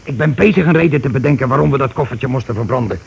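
Actor as scheming husband on hissy old radio. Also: male voice, medium pitch, racing, creaky, highs cut off, close to an everyday voice. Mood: calculating, irritated. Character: scheming, irritable husband covering his tracks. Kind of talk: radio play acting.

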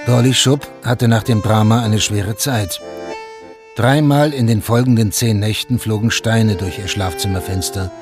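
Radio play narrator: male actor as a sober, factual chronicler, lowish voice, with accordion music clearly behind. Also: lightly acted. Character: male chronicler soberly recounting a sad aftermath.

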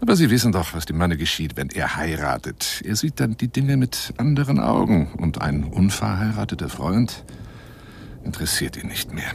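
Radio drama monologue: boastful, lonely bachelor hunter, theatrical and courtly, gravelly with highly animated intonation. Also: male voice, moderate pace, slight background noise, heavily acted. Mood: wistful, defensive.

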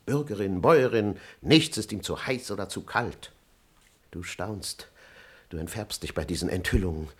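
Casting: a garrulous, indignant servant of a wicked lord for stage-style radio drama; very low, rough-edged voice, theatrical and confiding. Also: male voice. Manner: theatrical, emphatic, confiding and pompous. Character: talkative, indignant, cowardly manservant of a wicked lord. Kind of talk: classic comedy radio play acting.

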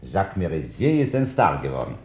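A man's voice: very deep voice, very animated, fairly quick, darkish tone, slight background noise. A man playing an easygoing, wry police commissioner in an old German radio crime drama, talking in a colloquial way. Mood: pleased, musing.

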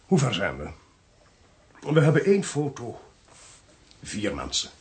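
A man acting in a radio drama, playing a sardonic, authoritative investigator with a dry, commanding, probing manner and a creaky voice. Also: medium pitch, huge pitch swings, measured pace, a little mellow, full character voice. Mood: brisk, brooding. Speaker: actor. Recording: faint hiss on radio play recording.

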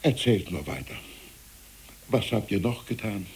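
A man playing a troubled, gentle old village pastor in a German radio play, hesitant and brooding, moving between murmur and declamation. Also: fairly low pitch, expressive, unhurried, vocal fry, slight background noise. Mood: resigned.

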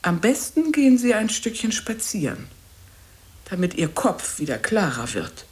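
A woman's voice: mid-range voice, sing-song, a little slow, darkish tone, slight background noise. An actress playing a brusque, solitary woman who guards her peace with curt, dismissive remarks in a radio play. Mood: indignant, dismissive.

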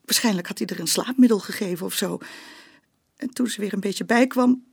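Radio drama casting: anxious Dutch mother under police questioning, husky middle-pitched voice, halting and defensive. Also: darkish tone. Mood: distressed, subdued. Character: anxious mother recounting her daughter's abuse to police. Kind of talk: naturalistic radio drama acting.

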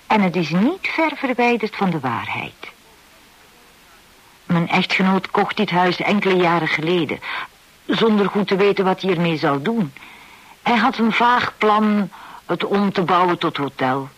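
An actress in a radio play voicing a middle-aged widowed hotel owner, brisk, expressive and persuasive. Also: female voice, lowish voice, slightly rough, no echo, full character voice, low-quality recording. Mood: reflective, wry. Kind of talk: radio drama, narration and dialogue.

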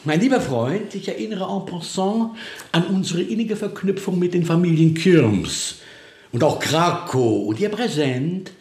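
An actor in a German radio play voicing an effusive, learned go-between who talks in a pedantic, florid way. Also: male voice, high-pitched, expressive, measured pace, a little mellow, breathy edge, heavily acted. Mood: fondly reminiscing.

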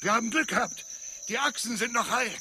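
A man's voice: very high voice, fairly quick. An actor playing an irritable, nervous driver and guide, a man in a naturalistic radio drama. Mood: angry. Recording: loud insect and vehicle noise.

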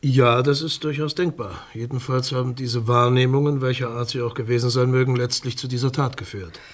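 A male prosecutor played by an actor in a radio crime drama. He has a mid-pitched voice and phrases things formally and carefully, with a dismissive, bureaucratic condescension. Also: steady tone, brisk, natural voice. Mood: dry, sceptical. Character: dismissive, formal male state prosecutor defending his findings.

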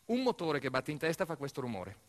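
A man narrating a scripted theatrical monologue, telling the story in an emphatic, expressive way. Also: a little high, fast. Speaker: actor-narrator of a solo theatre piece.

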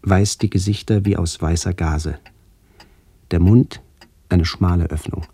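Deep, mellow, solemn narrator. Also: male voice, steady tone, unhurried, breathy edge, heavily acted. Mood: somber, contemplative. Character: somber male first-person narrator remembering his aged aunts. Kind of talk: literary radio play narration.

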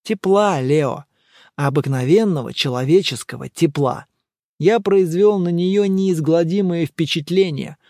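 Audiobook role for a male narrator: wry, bossy man with mock-solemn authority. Measured, ironic, closely recorded. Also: a little high, darkish tone, no echo, clean studio sound.

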